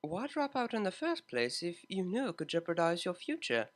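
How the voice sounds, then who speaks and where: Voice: mid-range voice, very animated, moderate pace, pitched above the performer's own voice, lightly acted. A reader voicing a refined young female cellist who speaks in formal, precise phrasing. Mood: probing. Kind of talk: fan fiction reading, character voice.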